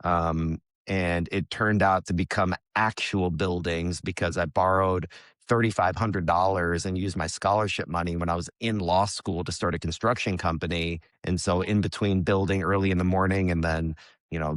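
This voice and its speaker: A man with a low, deep voice and an American accent talks candidly as a podcast guest. His delivery is loose and conversational, with the odd swear word. The recording is close and dry. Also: steady tone, moderate pace, dry recording. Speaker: podcast guest, real estate investor. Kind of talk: casual interview talk.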